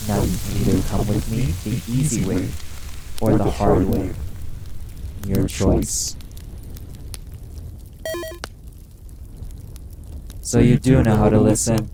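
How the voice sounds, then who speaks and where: A man's voice: fairly low pitch, background noise, natural voice. A man playing a brash, cynical male demon who speaks bluntly and scornfully in an audio roleplay. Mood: commanding, impatient. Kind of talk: dramatic audio roleplay addressed to the listener.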